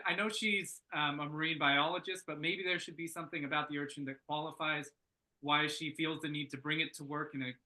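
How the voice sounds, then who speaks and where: A man's voice: fairly high pitch, bright, forceful tone, poor audio. A man giving casual feedback on a screenplay, tentatively thinking aloud. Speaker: script feedback panellist.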